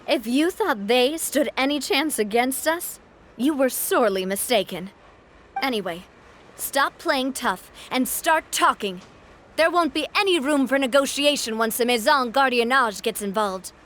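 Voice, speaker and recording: A woman's voice: high voice, animated, unhurried, fairly bright tone, a little hiss or hum. A woman voicing a confident, cheerful young female gang leader in a video game dub, upbeat in talk and commanding in a fight. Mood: stern.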